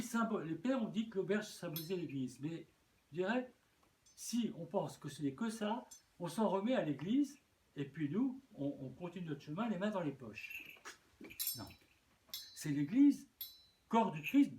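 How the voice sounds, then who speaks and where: A man's voice: high voice, sing-song, a little slow, long pauses, warm tone. A man preaching a sermon as a bishop, earnest, often repeating words and restarting. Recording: echoey room with faint noise.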